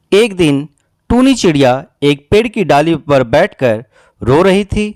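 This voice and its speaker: A man's voice: fairly high pitch, a little mellow, no room sound, studio quality. A man narrating a scripted children's cartoon story as a storyteller.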